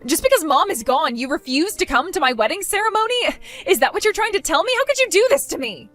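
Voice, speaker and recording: A woman's voice: high voice, big pitch swings, fairly quick, a bit bright, soft background music. A woman playing a self-centered young bride who whines and pleads with her sister, in a scripted drama. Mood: whiny.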